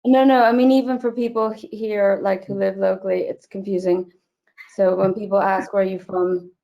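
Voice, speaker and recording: A woman's voice: medium pitch, little pitch movement, normal speed, vocal fry, highs cut off. A woman raised in Switzerland and Germany, speaking as an interview guest over a video call in a chatty, digressive way. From born in Northern Ireland, raised in Switzerland and Germany. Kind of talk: casual interview chat.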